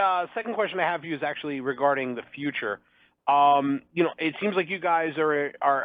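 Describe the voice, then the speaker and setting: Higher-voiced male reporter over the phone. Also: no echo. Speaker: reporter asking questions. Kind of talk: press call questioning, conversational. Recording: dry, narrow phone line.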